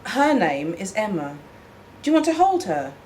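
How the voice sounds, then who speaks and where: A woman's voice: medium pitch, sing-song, moderate pace, a little mellow, slight background noise, lightly acted. A woman voicing an anxious but determined teenage mother in a storybook reading, tentative and earnest.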